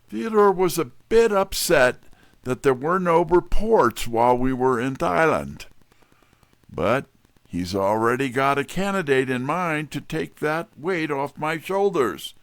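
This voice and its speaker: Slow, gravelly, wry detective played by a man. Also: mid-range voice, no room sound, full character voice. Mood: brisk, businesslike. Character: wry, smooth-talking male private detective narrator. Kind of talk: first-person detective narration, audio drama.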